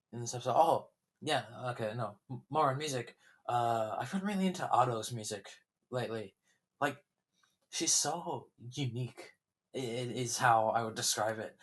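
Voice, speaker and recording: A young man talks loosely on his own podcast, drifting from thought to thought with frequent fillers and restarts. His throat sounds slightly sore as he goes on. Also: mid-range voice. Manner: rambling, chatty, many fillers and restarts. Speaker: podcast host. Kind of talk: casual solo podcast chat.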